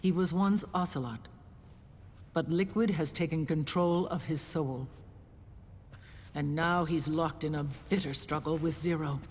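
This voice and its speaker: An actress playing an older woman who leads a resistance group, speaking in a soft, measured, deliberate way in a game cutscene. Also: low voice, slight background noise. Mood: solemn. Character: older woman, calm mysterious rebel leader. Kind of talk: video game cutscene acting. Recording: reverberant game cutscene with music.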